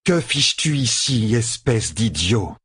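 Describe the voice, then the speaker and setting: Audiobook fantasy guard, a hard young woman, read by a man: husky, drawn-out, dry and scornful. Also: medium pitch, no echo, exaggerated voice. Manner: slow, deliberate, dry deadpan. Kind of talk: audiobook fantasy character dialogue.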